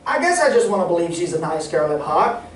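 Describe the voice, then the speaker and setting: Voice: medium pitch, big pitch swings, quick pace, natural voice. A young performer playing an exasperated, scolding straight man in a vintage radio comedy routine on stage. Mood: earnest, exasperated. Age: young adult. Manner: scolding, prompting. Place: live stage in a community playhouse. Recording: echoey hall, distant mic, noise.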